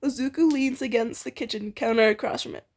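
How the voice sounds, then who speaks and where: A young woman with a high voice reads fan fiction aloud. She keeps it casual and giggly, with a teasing edge, like a relaxed read between friends. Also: fairly quick.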